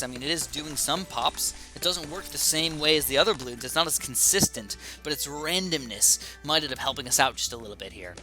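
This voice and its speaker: Young male gaming YouTuber, slightly raspy, bright and excitable, casual commentary. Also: fairly high pitch. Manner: chatty and excitable, thinking aloud. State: slightly raspy from a sore throat.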